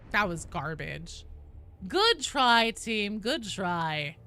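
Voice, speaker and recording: A woman's voice: mid-range voice, very animated, very slow, bright, forceful tone, clear, resonant tone, faint music behind. A woman doing casual live gaming commentary, informal and sweary, chatting with her viewers. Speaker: game streamer, host.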